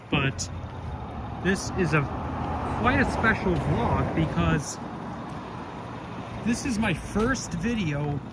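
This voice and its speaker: A man walks along a busy city street and vlogs into his phone. His voice sits a little high and sounds stuffed up and nasal from a cold, and he rambles casually with plenty of fillers. Heavy traffic roars behind him the whole time. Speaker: vlogger. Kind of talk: casual walking vlog. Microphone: phone held while walking. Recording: loud traffic noise outdoors.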